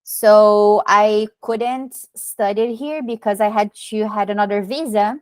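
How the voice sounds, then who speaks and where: A woman in her thirties from Brazil with a Brazilian Portuguese accent, a podcast guest telling her story in casual, animated chat. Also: mid-range voice, slow pace, clean tone, dry recording. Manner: many fillers and restarts, animated storytelling.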